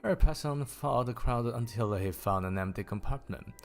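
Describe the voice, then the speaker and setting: A man streaming a casual story reading, raising his pitch for the characters and stopping to laugh and swear at typos. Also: normal speed, gravelly, slight background noise. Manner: lifts pitch for quoted characters. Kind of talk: casual livestream book reading.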